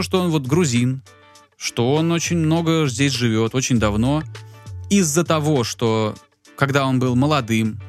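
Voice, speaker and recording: A man casually telling a long story in a podcast chat, rambling and full of fillers. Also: medium pitch. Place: Tbilisi.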